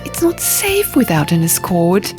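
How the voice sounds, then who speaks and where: A woman voicing an anxious, incredulous young woman in an audiobook, fretful and animated, firing off halting questions. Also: on the low side. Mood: anxious, doubtful. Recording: music under the reading.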